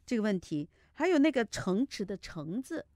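A woman's voice: mid-range voice, big pitch swings, normal speed, mellow, no room sound, studio quality. A woman teacher giving conversational feedback as a judge, explaining and repeating points for emphasis. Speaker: Mandarin teacher and competition judge.

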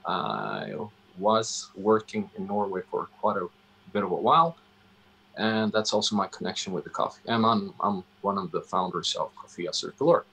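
Male interview guest, Swedish accent, low gravelly voice, halting and deliberate delivery over a video call. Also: lively intonation, a bit bright, poor audio. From Sweden. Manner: halting, deliberate, searching for words. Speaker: interview guest, coffee roastery founder. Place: indoor room, on a video call. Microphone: video call microphone.

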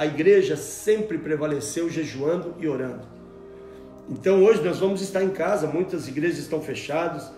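A man in his forties preaching a sermon from a table at home, animated and repeating words as he searches for them. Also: a little high, vocal fry, reverberant. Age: 40s. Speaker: pastor. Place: room at home, at a table with Bibles.

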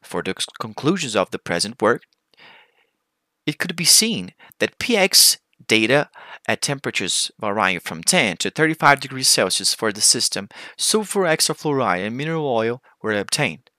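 A man giving a formal, scripted conference presentation as a researcher, with deliberate phrasing. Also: mid-range voice, big pitch swings, bright, forceful tone, dry recording.

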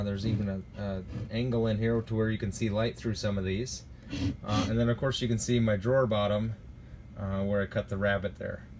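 A man's voice: on the low side, a bit bright, slight background noise. A man in his thirties vlogging from a small home workshop, rambling and self-mocking, with lots of fillers and restarts, recorded on a phone held close. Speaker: hobby woodworker. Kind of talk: casual self-deprecating workshop vlog. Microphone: phone camera held close.

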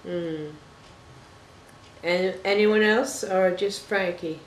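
A woman's voice: on the low side, fairly even intonation, takes it slowly. An older woman chats as an interview host in a roomy, echoing living room. She takes her time with each question. Her manner is folksy and familiar, with pet names dropped in. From Toronto, Canada. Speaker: talk show host, interviewer. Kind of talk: casual interview chat. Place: small living room, indoors.

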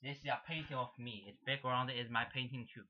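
A man's voice: mid-range voice, steady tone, fairly quick, slight background noise. Young guy from shanghai, chinese accent, broken english, keeps repeating words, muffled webcam audio. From Shanghai, China. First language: Mandarin Chinese. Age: young adult. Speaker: amateur painter demonstrating his work. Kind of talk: casual rambling demonstration to camera. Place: small indoor room. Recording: dull lo-fi webcam sound.

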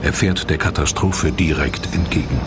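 A man's voice: a bass voice, moderate pace, very noisy. A man narrating a documentary from a script in a grave, dramatic and portentous manner. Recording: loud cinematic music behind. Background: loud dramatic music.

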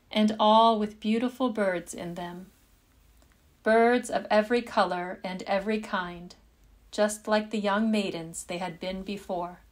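A woman narrating a fairytale in measured, deliberate storybook phrasing. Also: medium pitch.

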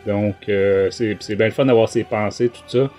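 A man's voice: fairly low pitch, steady tone, normal speed, darkish tone, soft background music. A man with a Quebec French accent, a podcast host giving a casual review in a hesitant, rambling way. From Quebec, Canada.

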